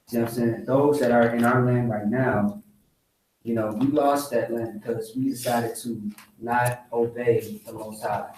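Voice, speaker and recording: A man's voice: medium pitch, steady tone, a little slow, a little mellow, distant mic, slight background noise. A man preaching casually to a small group, insistent, repeatedly checking he is understood. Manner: insistent, keeps asking "see what I'm saying?". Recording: echoey room, voice heard from off mic.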